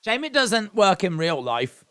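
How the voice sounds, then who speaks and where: A man's voice: high voice, sing-song, a little slow, a bit bright. A man in his thirties from England, hosting a casual live watch-along stream, chatting, rambling and reading out viewer comments with self-deprecating asides.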